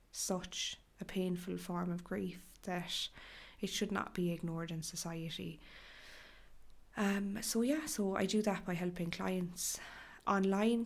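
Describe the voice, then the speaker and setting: Irish woman, podcast host, hoarse and crackly from a cough, gentle and chatty. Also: medium pitch. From Ireland. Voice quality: crackly, breathy in places. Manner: gentle, chatty, with fillers and restarts. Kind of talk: solo podcast talk.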